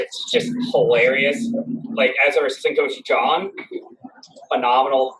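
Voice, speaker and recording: A teenage male athlete chatting casually as a guest, emphatic and jokey, often repeating himself. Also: on the high side. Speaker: student athlete guest, goalkeeper. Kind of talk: casual chat. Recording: clear background noise.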